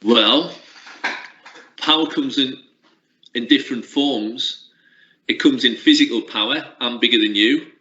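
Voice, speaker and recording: Bright-voiced middle-aged lecturer. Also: male voice, mid-range voice, unhurried, long pauses. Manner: reflective, uses many restarts and fillers. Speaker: sports coaching lecturer. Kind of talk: relaxed interview answers. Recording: lo-fi roomy recording.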